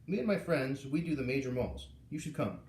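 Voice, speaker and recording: A man's voice: medium pitch, animated, a little fast, a little mellow, close to an everyday voice. A man voicing a friendly, casual, eager middle-school boy in a storybook. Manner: casual, enthusiastic. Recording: echoey room, far from the mic.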